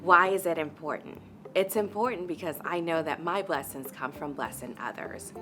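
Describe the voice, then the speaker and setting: Deep-voiced woman speaking over music. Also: normal speed. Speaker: honoree, early learning executive. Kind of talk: prepared motivational statement.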